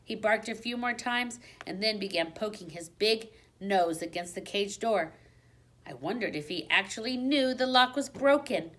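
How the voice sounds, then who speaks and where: A woman reads a children's storybook aloud at a medium pitch. Her narration is expressive and dramatic, with hushed drops that build suspense. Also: moderate pace. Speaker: teacher reading a children's book aloud.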